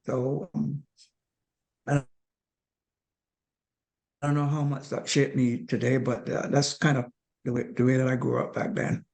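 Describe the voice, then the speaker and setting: Gravelly older Barbadian man. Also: mid-range voice. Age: 70s. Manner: calm, measured, reflective with hesitant restarts. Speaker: guest. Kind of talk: reflective storytelling.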